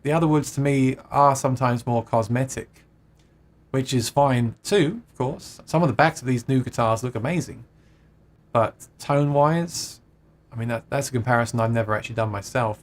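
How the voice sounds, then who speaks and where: A man's voice: medium pitch, a little fast. A man hosting a casual livestream, reading out viewer comments and chatting with plenty of laughs and restarts. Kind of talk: casual chat about guitars.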